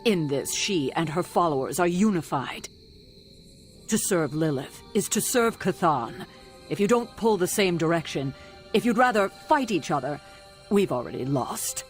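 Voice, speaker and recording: A man acting a stern, authoritative mentor of a superhero team in a video game, speaking gravely and with command. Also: fairly high pitch, expressive, measured pace, soft background music. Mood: grave, warning.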